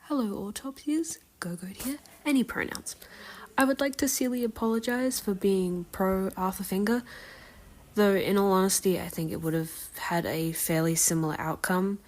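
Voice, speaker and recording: A woman's voice: mid-range voice, moderate pace, darkish tone. A woman recording a listener submission, giving prepared commentary for a podcast.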